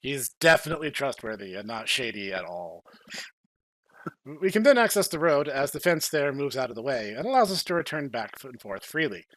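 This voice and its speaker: A man's voice: mid-range voice, very animated, fairly quick, a bit bright. A man narrating a video game story as a podcast host, in a playful scripted style.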